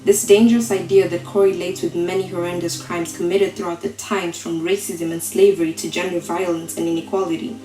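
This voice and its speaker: A woman performing slam poetry in a deliberate, rhetorical way, with dramatic pauses. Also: on the low side, level tone, mellow. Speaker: slam poet. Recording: roomy echo, faint music behind.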